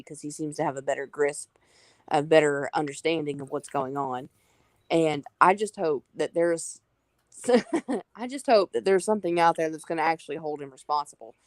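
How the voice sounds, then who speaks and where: A woman's voice: low-pitched, dry recording. A woman with a Southern US accent, talking earnestly and apologetically in a casual online group chat. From Southern United States. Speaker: call-in guest.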